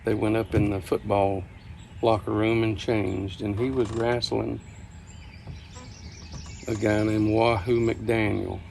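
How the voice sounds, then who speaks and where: Gravelly older man telling stories. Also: lowish voice, flat delivery, a little mellow, slight background noise. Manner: folksy, unhurried, halting. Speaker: storyteller. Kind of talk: casual reminiscing storytelling. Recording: outdoor ambience with birdsong behind. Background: birdsong, outdoor ambience.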